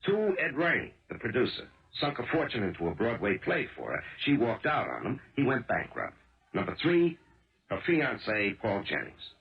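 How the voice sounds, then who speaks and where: An American actor playing a weary, gruff police detective husband in a 1970s radio drama, speaking in brusque, emphatic, colloquial phrases. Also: male voice, medium pitch, wildly swooping pitch, moderate pace. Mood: exasperated. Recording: dull lo-fi old radio broadcast.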